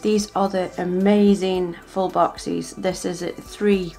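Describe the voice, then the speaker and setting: A woman's voice: lowish voice, level tone, slow pace, vocal fry, mellow, faint music behind. Chatty woman gushing over stickers. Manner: chatty, gushing, with small restarts. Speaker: planner vlogger. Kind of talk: casual show-and-tell narration.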